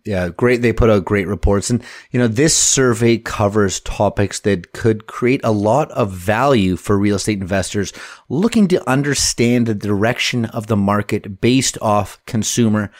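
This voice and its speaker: A Canadian man co-hosting a real estate podcast as a mortgage broker, talking in a relaxed, joking way. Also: lowish voice, normal speed, no room sound, studio quality. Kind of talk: casual podcast chat.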